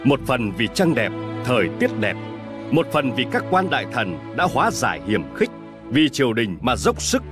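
An actor playing a solemn, benevolent king in an animated historical drama, speaking in formal, measured declamation. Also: mid-range voice, close to an everyday voice. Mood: content, kindly. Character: solemn, benevolent adult king addressing his ministers. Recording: music behind the voice.

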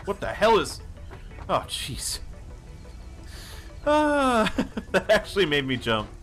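A man casually narrating his own building game, talking to himself with wry asides and a slightly hoarse voice. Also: high-pitched, huge pitch swings, slow pace. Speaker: let's play host. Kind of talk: casual gameplay commentary. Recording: voice-over with loud game music behind.

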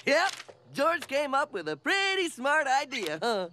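Dim-witted jungle man, high voice, very lively intonation, friendly, cartoon style, broken grammar. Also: a little slow, slight background noise, heavily acted. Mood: proud. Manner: speaks of himself in third person, broken grammar. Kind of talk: exaggerated cartoon voice acting. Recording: cartoon music underneath.

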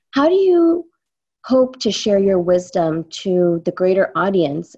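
A woman's voice: medium pitch, mellow, slightly rough. Polite woman asking over muffled call. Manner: polite and appreciative. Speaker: audience questioner. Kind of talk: asking a question at a town hall.

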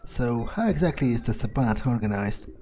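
A man roleplaying a curious, impatient vampire newcomer in a video game, improvising in a halting, pondering way. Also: medium pitch, animated, warm tone, a little hiss or hum, close to an everyday voice. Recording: faint music behind the voice.

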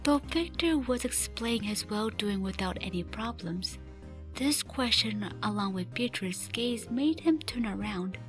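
A woman's voice: medium pitch, expressive, unhurried, faint music behind, natural voice. A woman reading scripted story narration for a fan radio drama.

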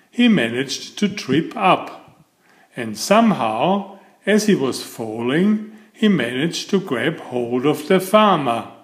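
A man reads a picture book aloud to children. His delivery is slow and emphatic, with very lively swings of pitch. The room adds a noticeable echo. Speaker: storyteller.

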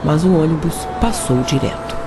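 A man's voice: a little high, normal speed, noisy background. A Brazilian man narrating a scripted radio drama in a dramatic storytelling manner. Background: music.

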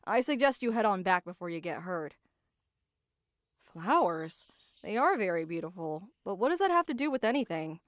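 A woman's voice: medium pitch, sing-song, normal speed, dry recording, natural voice. A woman playing a tough, proud female knight, guarded about love, speaking earnestly and formally in an anime ASMR roleplay. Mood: suspicious, scoffing.

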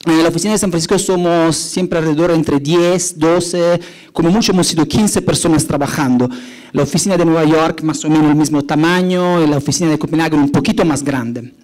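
A man's voice: on the high side, a little fast, darkish tone, poor audio. A middle-aged Italian man with an Italian accent, giving a hesitant, explanatory conference talk from an auditorium stage. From Italy. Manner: many fillers and restarts, explanatory. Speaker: conference speaker, urban designer.

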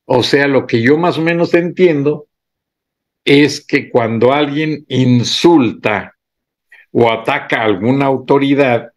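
A middle-aged man giving indignant political commentary on camera, pausing to read legal text aloud in a slow, deliberate way. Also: mid-range voice.

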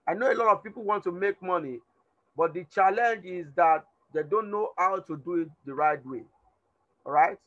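A man with a hoarse, tired voice teaching a live online trading class, repeating himself and urging students to respond. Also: high voice, normal speed, muffled recording. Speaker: trading instructor. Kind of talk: live online class over a chart screen.

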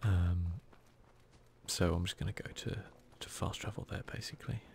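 A man softly giving casual ASMR commentary over a video game, often slipping into a whisper, with a self-deprecating manner. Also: low-pitched, fairly even intonation, normal speed, creaky, a little hiss or hum. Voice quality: soft-spoken, often whispery. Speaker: gaming video host.

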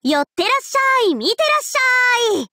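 A woman voicing a cheerful, teasing young festival-loving swordswoman in an anime mobile game, with a bubbly, playful, drawn-out delivery. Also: high-pitched, huge pitch swings, fairly bright tone, no room sound, studio quality, heavily acted.

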